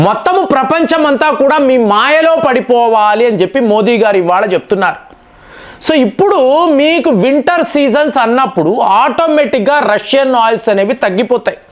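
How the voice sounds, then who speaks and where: This is a man with a strikingly high voice for a male speaker. He presents political commentary as an explainer addressed to his viewers. His delivery is emphatic, built on rhetorical questions and repeated key phrases. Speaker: political news commentator and channel host.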